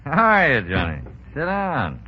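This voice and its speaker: A man's voice: mid-range voice, huge pitch swings, unhurried. A man acting a shrewd American racketeer boss in a 1930s radio drama, with slangy, sardonic, commanding talk. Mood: wry, easygoing. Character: smooth, shrewd male racketeering crime boss. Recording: dull 1930s radio broadcast.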